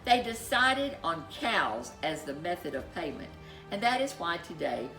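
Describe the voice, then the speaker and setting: Bright, expressive woman telling a children's story. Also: lowish voice, roomy sound, faint music behind, rough recording. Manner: playful, expressive, drawn-out emphasis. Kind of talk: animated scripted children's story narration.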